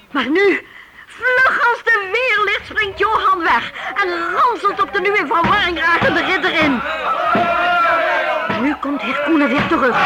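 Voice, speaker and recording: Breathless radio drama storyteller calling a fight, slow dramatic stretches, exclaiming, huge crowd behind. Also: very high voice. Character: excited storyteller commentating on a knights' duel. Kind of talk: Dutch radio play narration. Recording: loud crowd noise behind voice.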